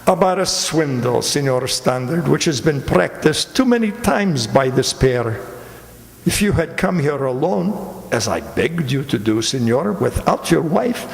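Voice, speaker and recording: A man's voice: a little high, animated, gravelly. A man playing a suave, wry Italian police commander in a stagey radio mystery, formal and ironically courteous. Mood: wry, authoritative. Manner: formal, ironic, deliberately theatrical. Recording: echoey hall recording.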